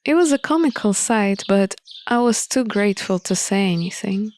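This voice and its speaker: A woman reading a visual novel aloud as an expressive narrator of a playthrough. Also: mid-range voice, normal speed.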